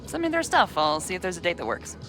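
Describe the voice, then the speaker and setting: A woman's voice: lowish voice, sing-song, fast. A woman playing a blunt, teasing young venue worker in a video game, with casual, slangy, naturalistic delivery. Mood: friendly, teasing. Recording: music under the voice.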